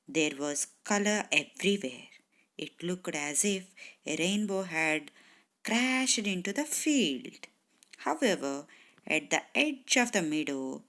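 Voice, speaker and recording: A woman narrating a children's bedtime story in a measured, expressive way with dramatic pauses. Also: fairly low pitch.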